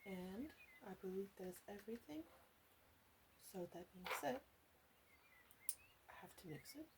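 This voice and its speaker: A woman playing a flirty, teasing witch in an ASMR roleplay, coaxing the listener softly with playful asides. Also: mid-range voice, lively intonation, unhurried, background noise. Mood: casual, teasing. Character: teasing, flirty witch in her three hundreds.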